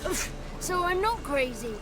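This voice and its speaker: A woman's voice: extremely high pitch, sing-song, measured pace, exaggerated voice, low-quality recording. A woman voicing a cheeky childlike male swamp spirit in a video game, with theatrical, quaint rustic turns of phrase. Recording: music under the voice. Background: game music.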